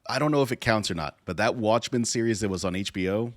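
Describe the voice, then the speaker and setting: A man co-hosting a podcast, talking casually and haltingly as he searches for words and repeats himself. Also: on the low side, no room sound. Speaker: podcast co-host. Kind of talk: casual podcast discussion about movies.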